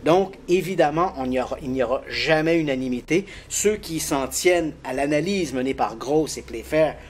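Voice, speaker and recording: A man with a fairly high voice walks through a story in lively detail, laughing easily along the way. A faint noise sits behind him. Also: normal speed. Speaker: guest expert on the paranormal. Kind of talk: casual explanatory storytelling.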